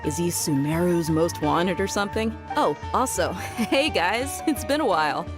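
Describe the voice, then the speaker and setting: Tough easygoing young warrior woman acted by a woman, low voice, teasing, casual, video game, music clearly behind. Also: lively intonation, moderate pace, lightly acted.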